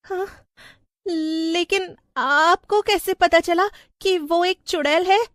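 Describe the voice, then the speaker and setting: A woman playing an earnest, plaintive young village wife in an animated story. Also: extremely high pitch, slow, no echo, studio quality, exaggerated voice. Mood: worried. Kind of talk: animated Hindi story acting.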